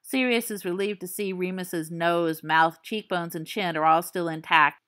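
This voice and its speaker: A woman reading a fan-written story aloud, with expressive, suspenseful storytelling. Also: on the low side, normal speed. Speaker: audiobook reader.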